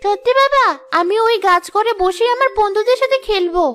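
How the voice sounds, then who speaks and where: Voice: very high-pitched, a little fast, fairly bright tone, faint music behind. A performer voicing a sweet, eager, fearless little girl in a cartoon drama. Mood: eager, confident. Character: dreamy, fearless little girl who talks to animals.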